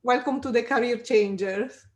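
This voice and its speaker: Bright-voiced woman podcast host. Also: a little high, normal speed. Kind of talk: scripted intro then interview questions.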